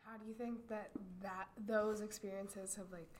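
A woman's voice: mid-range voice, flat delivery, normal speed, slight background noise. A woman asking interview questions in a loose, casual way, with "like" and "uh" fillers.

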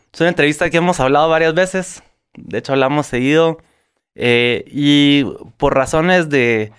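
Smooth-voiced man hosting a podcast. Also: medium pitch. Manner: friendly, hesitant with many uhs. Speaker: podcast host. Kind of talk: interview hosting, switching between Spanish and English.

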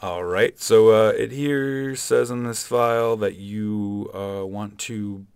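Actor as cautious male bank lender, deep and halting, questioning, in a dry-miked comedy sketch. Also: steady tone, creaky, a little mellow, clean tone, no echo. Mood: curious, hesitant. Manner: halting, with stammered repeats. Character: hesitant male loan officer, curious, cautiously questioning.

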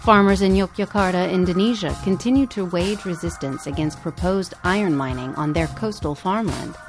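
A woman's voice: fairly low pitch, moderate pace, soft background music. A woman podcast host reading a scripted narration.

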